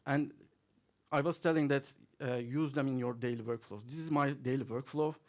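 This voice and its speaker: A man's voice: mid-range voice, steady tone, moderate pace, darkish tone. A man giving a chatty conference talk to an audience, with many fillers and the odd chuckle. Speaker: conference speaker. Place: conference hall, audience present.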